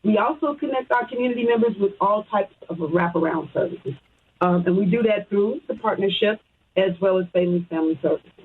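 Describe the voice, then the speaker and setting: A woman's voice: medium pitch, fairly quick, slight background noise. A woman from Chicago with an American accent, answering conversationally as a phone-in guest on a radio interview. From Chicago, United States. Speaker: radio guest, social services program supervisor. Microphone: phone call. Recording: muffled, tinny phone line.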